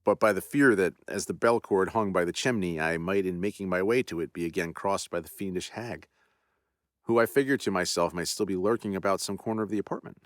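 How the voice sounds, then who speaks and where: A man's voice: low-pitched, a little fast, dry recording, studio quality, natural voice. A man reading the part of a proud army general formally confessing his terror, in earnest period speech. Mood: shaken.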